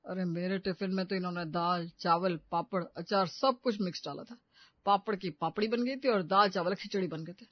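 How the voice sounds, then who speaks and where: A woman's voice: lowish voice, moderate pace, dull audio, pitched below the performer's own voice, natural voice. A woman voicing an exasperated young husband in a cartoon family comedy, scolding and complaining. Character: grumbling, exasperated young husband and elder brother.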